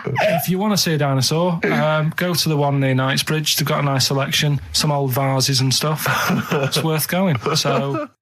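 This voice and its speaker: A man's voice: a little high, fairly even intonation, normal speed, noisy background. A man co-hosting a radio show, chatting casually in a rambling, earnest, matter-of-fact way.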